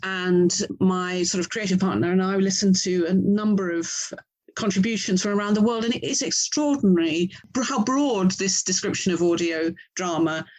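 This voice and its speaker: A woman's voice: mid-range voice, normal speed, a little mellow. Middle aged english lady, chatty and thoughtful, being interviewed about her work as a writer. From England. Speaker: writer and audio drama producer, interview guest. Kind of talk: casual interview talk.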